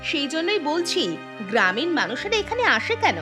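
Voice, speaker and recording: A woman's voice: high voice, sing-song, normal speed, over music. A woman voicing a snobbish rich lady who scorns villagers, in a cartoon story. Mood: scornful.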